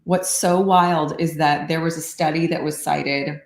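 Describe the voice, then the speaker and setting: A woman explaining meditation practice in a calm, unhurried way, often saying "like", in casual podcast conversation. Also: deep voice, roomy sound. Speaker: meditation teacher.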